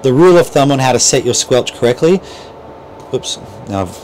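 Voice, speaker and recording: A middle-aged man with a medium-pitched voice gives an informal instructional walkthrough. He explains things step by step, like an instructor showing a customer around. He is on a clip-on mic in a small cabin, with clear background hum behind him. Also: lively intonation, brisk, darkish tone. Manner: explanatory, step-by-step, checks in with "right?". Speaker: boat dealer instructor. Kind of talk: informal how-to demonstration. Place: small boat cabin at a marina. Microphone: clip-on lavalier mic.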